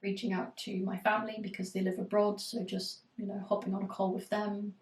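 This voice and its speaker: A woman with a cold, her voice going hoarse, coaching a live Q&A and giving reflective, steady advice with frequent fillers. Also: mid-range voice, a little fast, echoey room, far from the mic. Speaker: eating disorder recovery coach.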